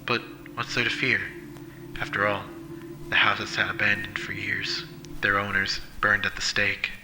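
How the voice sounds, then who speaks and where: A man playing a solemn, measured narrator of a scripted Halloween horror story. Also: on the low side, flat delivery, music in the background, natural voice, poor audio.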